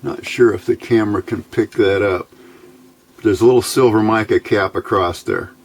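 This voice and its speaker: A man giving a casual hands-on electronics tutorial, halting and thinking aloud as he goes. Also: lowish voice. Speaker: electronics hobbyist video host.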